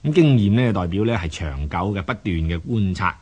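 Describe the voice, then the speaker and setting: A man giving unscripted explanatory commentary as a programme host, didactic and halting, often repeating words. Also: deep voice, expressive, darkish tone, slightly rough.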